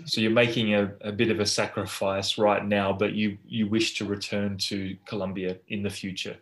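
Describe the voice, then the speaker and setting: Man, lowish voice, easygoing chat partner who encourages the other speaker. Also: little pitch movement, normal speed, fairly bright tone. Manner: encouraging, lots of okays and restarts. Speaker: conversation partner. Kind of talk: casual conversation.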